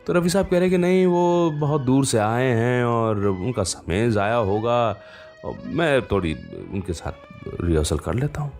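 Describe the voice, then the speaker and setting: A man hosting a radio show, telling stories conversationally with unhurried pauses and fillers. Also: mid-range voice, darkish tone, soft background music. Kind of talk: conversational storytelling radio presenting.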